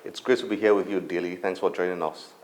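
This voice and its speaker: A man hosting a broadcast book interview, speaking deliberately in short, separated phrases. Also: low voice, fairly even intonation, music in the background. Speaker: TV book show host.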